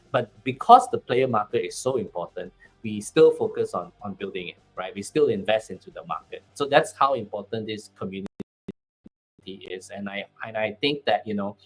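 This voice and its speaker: A man's voice: lowish voice, poor audio. A man with a Malaysian accent, speaking as a guest on a panel, often restarting and repeating words. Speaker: country manager, panel guest. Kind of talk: casual panel talk.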